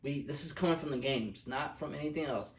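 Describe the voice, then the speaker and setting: A man's voice: on the high side, a little fast. A young man in a casual, profane movie review chat, blunt, opinionated and joking. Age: young adult. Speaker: co-host of a movie review show. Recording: roomy echo, distant from the mic.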